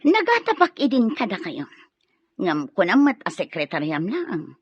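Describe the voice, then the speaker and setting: A sharp, bossy lady of the house, her voice swinging high and low as she scolds. Her tone is a little husky and dry, and the recording sounds dull and lo-fi. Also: big pitch swings, moderate pace, no echo. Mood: accusing. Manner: scolding, cutting, commanding. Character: stern wife of the house, scornful, bossy. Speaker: actress in an audio drama. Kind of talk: radio drama acting.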